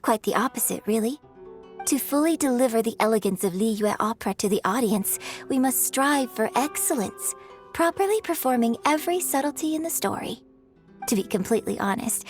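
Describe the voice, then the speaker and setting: A woman's voice: on the high side, lively intonation, normal speed, faint music behind. A woman playing a refined, courteous young opera performer in a video game, speaking with polite, formal, elegant phrasing. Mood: courteous, earnest. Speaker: game character voice. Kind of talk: scripted video game dialogue.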